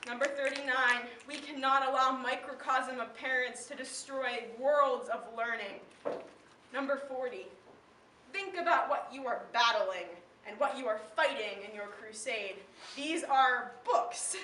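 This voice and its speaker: A teenage young woman with an American accent delivering slam poetry in an impassioned, emphatic, declamatory way with deliberate pauses. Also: high-pitched, pushed and bright. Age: teen. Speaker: slam poet. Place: live event in a room. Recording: roomy, distant mic, faint background noise.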